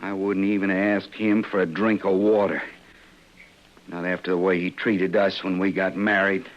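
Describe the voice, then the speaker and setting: A man's voice: deep voice, flat delivery, moderate pace, close to an everyday voice. A man playing a proud, desperate young frontier husband with a rural American accent, guarded and plain-spoken in a 1950s radio western. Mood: subdued, weary. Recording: muffled old radio recording.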